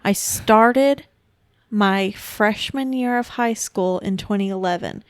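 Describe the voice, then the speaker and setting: A woman's voice: medium pitch, slow, dry recording, studio quality. A woman in her mid-twenties co-hosting a casual podcast, explaining things patiently and laughing easily. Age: 20s. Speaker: podcast co-host. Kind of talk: casual chat.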